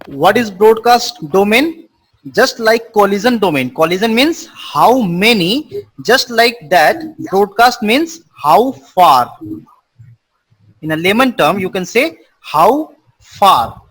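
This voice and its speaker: Instructor type: husky man, high voice, unhurried, repeats each definition and quizzes the class. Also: lively intonation, fairly bright tone, poor audio. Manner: explains slowly with repeated simple definitions, quizzes students. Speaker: networking instructor. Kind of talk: live online class.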